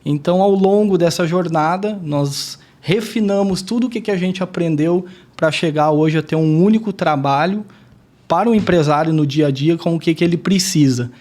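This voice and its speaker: Male guest, businessman; earnest and measured, slightly high, mellow voice. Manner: earnest, measured, formal phrasing. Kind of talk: self-introduction in podcast interview.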